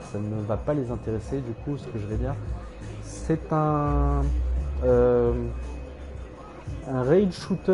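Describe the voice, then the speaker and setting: A man streaming a game, rambling hesitantly with many fillers and self-corrections, with dry irony. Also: medium pitch. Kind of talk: casual rambling game commentary.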